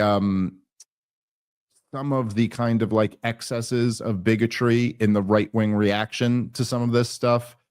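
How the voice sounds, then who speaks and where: An American man hosting a political podcast, talking casually and sarcastically, full of restarts and fillers. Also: medium pitch. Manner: rambling, many restarts and fillers; sarcastic, exasperated. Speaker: podcast host.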